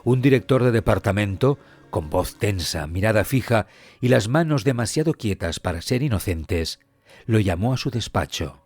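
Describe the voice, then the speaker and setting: A man narrating a scripted mystery story in a solemn, dramatic manner with deliberate pauses and a husky voice. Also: on the low side, animated, a little mellow. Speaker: podcast narrator. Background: background music.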